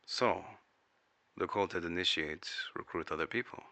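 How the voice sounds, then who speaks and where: A man's voice: low-pitched, moderate pace, bright, forceful tone, no room sound. A man playing a formal, probing intelligence agent who takes testimony in an audio drama. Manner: formal, inquisitive. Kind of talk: audio drama interrogation dialogue.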